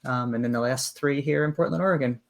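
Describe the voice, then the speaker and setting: A man from New Jersey introduces himself as a game designer. He speaks hesitantly, pausing on "um" between short phrases. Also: medium pitch, gravelly. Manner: hesitant, pauses with "um". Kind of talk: casual interview chat.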